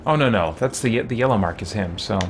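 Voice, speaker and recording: A man's voice: on the low side, lively intonation, brisk, background noise. A man in his forties giving casual gameplay commentary, musing aloud hesitantly as he streams. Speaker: game streamer. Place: small home room with shelves, indoors.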